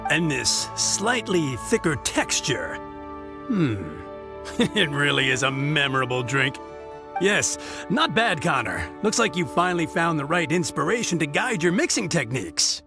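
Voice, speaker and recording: A man's voice: fairly high pitch, sing-song, unhurried, vocal fry, over music, exaggerated voice. A man voicing a jovial, courteous older winery manager in a video game, effusive and rather formal. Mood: appreciative. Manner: effusive, formal, savoring words. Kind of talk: English video game voice acting.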